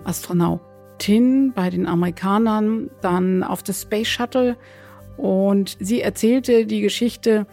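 A woman's voice: mid-range voice, dark tone. A woman giving explanatory interview answers as an expert, hesitant and searching for words. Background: background music.

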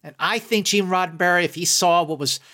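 A man's voice: high voice, brisk, bright, forceful tone. A middle-aged man speaking as a guest critic in a podcast discussion, effusive and emphatic, often repeating words to drive a point. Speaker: guest film critic and entertainment journalist.

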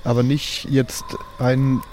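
A man with a dark, mellow voice of middling pitch plays a tabletop role-playing game casually. Faint insect and bird ambience sits behind him. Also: level tone, unhurried, a little hiss or hum, rough recording. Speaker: tabletop role-play player. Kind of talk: casual improvised role-play.

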